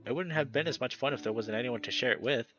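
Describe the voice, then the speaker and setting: A man voicing a gentle, apologetic male creature friend with big ears in a visual novel, with guitar music behind him. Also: medium pitch, fast, natural voice. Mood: friendly. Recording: dull lo-fi audio with guitar music.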